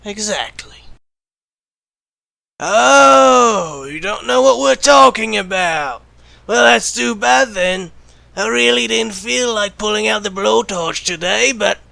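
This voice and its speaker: Man acting a menacing, gravelly secret-agent interrogator. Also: sits high, animated, slow, sharp tone, no room sound, full character voice. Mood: mock-regretful threats. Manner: menacing, sardonic, condescending. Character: gruff bloodthirsty veteran male secret-agent interrogator, bad cop. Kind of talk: ASMR roleplay audio drama.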